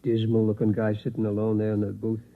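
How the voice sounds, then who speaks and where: An uneasy bartender, played by a male actor in an old radio drama. He talks in a low voice, plain and hesitant. The recording is thin and phone-like. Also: completely flat delivery, quick pace, lightly acted. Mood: wary. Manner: hesitant, folksy.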